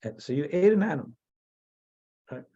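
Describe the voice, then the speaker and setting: A man's voice: on the high side, big pitch swings, normal speed, mellow, breathy edge. An American man from New Jersey, a rabbi teaching a Torah class. He halts often, restarting and repeating words as he thinks aloud. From New Jersey, USA. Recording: dull, lo-fi sound.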